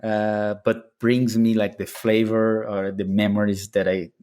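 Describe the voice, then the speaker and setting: A man's voice: on the low side, little pitch movement, a little slow, darkish tone. A man from Brazil with a Brazilian Portuguese accent, a podcast guest answering questions in casual chat, often restarting phrases and laughing as he tells stories. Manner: hesitant restarts, laughs while telling anecdotes.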